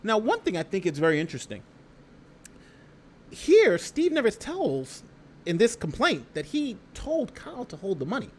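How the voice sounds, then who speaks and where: A man's voice: sits high, huge pitch swings, moderate pace, a little hiss or hum. An American man hosting a casual legal commentary stream, reading aloud and talking through it conversationally with rhetorical asides. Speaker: YouTube host and lawyer reading a lawsuit.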